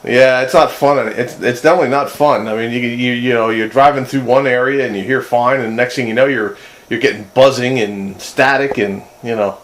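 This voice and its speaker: A man's voice: mid-range voice, fairly even intonation, fairly quick, background noise. A man chatting casually as host of a hobby radio roundup, rambling and often repeating his words.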